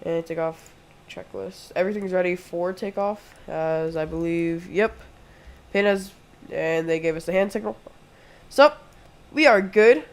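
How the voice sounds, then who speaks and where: A man's voice: high-pitched, measured pace. Congested young man narrating a flight sim. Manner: chatty, talks through each step. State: sick, congested. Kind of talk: casual live play-by-play.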